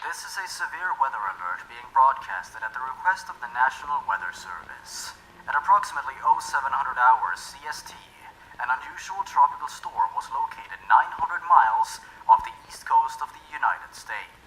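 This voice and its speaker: A man's voice: medium pitch, normal speed, slight background noise, low-quality recording. A man whispering as a cold emergency-alert machine. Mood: ominous, commanding. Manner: flat, whispered official announcements.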